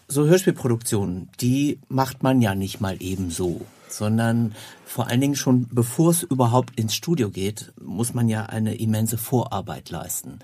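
A male host with a husky, mid-pitched voice leads a casual conversational interview. The sound is dry, with no room around the voice. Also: mellow, no room sound.

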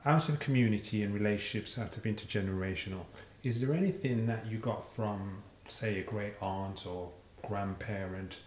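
A man with a very deep voice asks questions in a reflective, conversational way. His voice rings in a roomy space with a faint hum of background ambience. He keeps nudging the guest along with short affirmations. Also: moderate pace, few pauses, a little mellow. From family roots in Jamaica. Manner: reflective, uses metaphors, frequent affirmations. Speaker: interviewer, sound engineer. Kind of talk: conversational interview.

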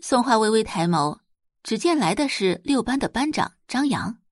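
A woman's voice: fairly high pitch, very animated, normal speed, no room sound, clean studio sound. A woman narrating a scripted audiobook story as its storyteller.

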